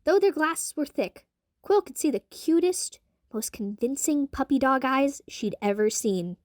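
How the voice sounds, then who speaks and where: A woman's voice: sits high, expressive, unhurried, a little mellow, no room sound, studio quality. A young adult woman reading scripted narration for an audio drama.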